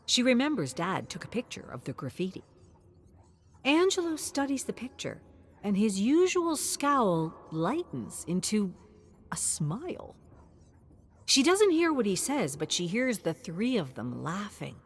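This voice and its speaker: A woman reading scripted storybook narration in a measured, expressive storytelling manner. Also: mid-range voice, a little hiss or hum. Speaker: narrator of character lore.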